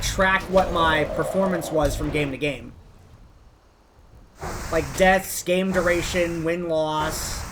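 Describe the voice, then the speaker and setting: A man telling long rambling stories with lots of "like" fillers while he plays a game. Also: noisy background. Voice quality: describes his own voice as higher than a deep bass beat. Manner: rambling, heavy use of "like" as filler. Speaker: gamer narrating his own playthrough. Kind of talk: casual storytelling commentary while playing.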